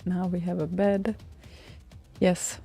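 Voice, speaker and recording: A woman's voice: lowish voice, level tone, slow, warm tone, faint music behind. A woman hosting a radio show, chatting casually in a rambling way with many fillers and a dry, teasing humor. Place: radio studio.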